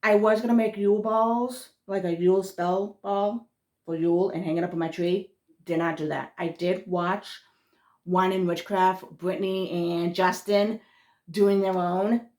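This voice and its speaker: A woman with a thick Massachusetts accent talks in a somewhat low voice. She rambles casually as a spiritual vlogger, trailing off mid-thought and restarting words. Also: steady tone, unhurried, darkish tone.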